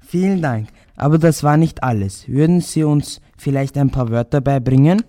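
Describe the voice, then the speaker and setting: A man's voice: medium pitch, moderate pace, dark tone. A sixteen-year-old boy from Vienna hosting a school radio interview, reading out formal introductions and questions. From Vienna, Austria. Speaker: student radio host.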